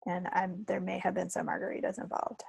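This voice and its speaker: A woman's voice: on the low side, completely flat delivery, fairly quick, gravelly, darkish tone, slightly rough, no room sound. A woman co-hosting a podcast, chatting casually in a playful, wry way. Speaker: podcast co-host. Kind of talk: casual chat.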